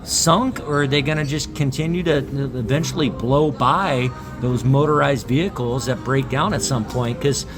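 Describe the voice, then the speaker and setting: A man's voice: mid-range voice, normal speed, few pauses, over music. A man speaking as a sports talk guest, giving his analysis in casual conversation.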